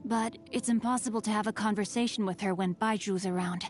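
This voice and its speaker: A woman voicing a bookish, sly teenage boy martial artist from a video game, speaking in formal, articulate, measured phrases. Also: mid-range voice, steady tone, faint music behind, natural voice. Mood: poised, wry. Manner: formal, articulate, measured, old-fashioned wording. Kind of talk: scripted video game character voice lines.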